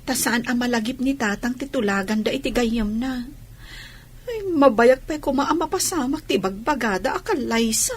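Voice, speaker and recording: A woman's voice: a little high, normal speed, breathy edge. A woman playing an earnest, fretful young shop worker with a Filipino accent in a radio drama.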